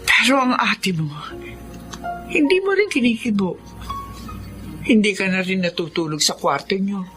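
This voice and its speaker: Expressive, anxious Filipina mother. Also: medium pitch, unhurried, faint music behind. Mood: concerned. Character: kindly, anxious middle-aged mother. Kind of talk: Filipino radio drama acting.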